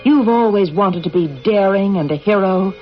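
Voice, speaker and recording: Over faint music and dull radio sound, a calm otherworldly being acted by a man speaks in a high voice. The manner is measured and philosophical, coolly insistent, as in a vintage science fiction radio play. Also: lightly acted. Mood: persuasive. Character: calm, persuasive, manipulative alien being from another world. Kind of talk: 1980s radio drama acting.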